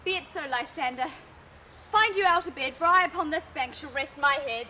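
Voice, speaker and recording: A woman playing a modest young woman in love, speaking gentle Elizabethan verse. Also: high-pitched, fairly quick, slight background noise.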